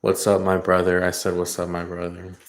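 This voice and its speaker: Brief: man from California, low-ish voice, relaxed livestream reader, chatty and full of fillers, reacting to chat as it comes. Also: brisk. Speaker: psychic reader hosting a livestream. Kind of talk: casual livestream chat answering viewer comments.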